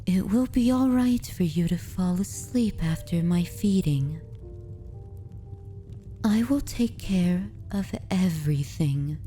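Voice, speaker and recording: Girl doing a vampire mistress asmr, super slow n soft, whispery, very velvety dark voice, bossy but sweet, music behind. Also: fairly low pitch, lively intonation. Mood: soothing, commanding. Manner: slow, soft, often whispered, formal old-fashioned phrasing. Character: dominant, tender female vampire mistress soothing her pet. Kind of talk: slow ASMR fantasy roleplay.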